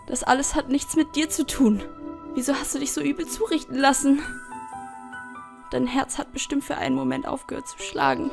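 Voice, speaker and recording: A woman's voice: high voice, vocal fry. A woman voicing an anxious, hot-tempered teenage girl in an emotional, pleading manner for an anime fan dub. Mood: upset, concerned. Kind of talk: dramatic anime dub acting. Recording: fan dub with music underneath. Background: background music.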